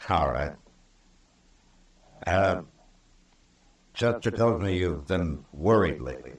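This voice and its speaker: An actor playing a calm, skeptical frontier marshal, measured and plain-spoken, in an old roomy radio western recording. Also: male voice, low voice, recorded from a distance, full character voice. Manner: measured, patient, conversational.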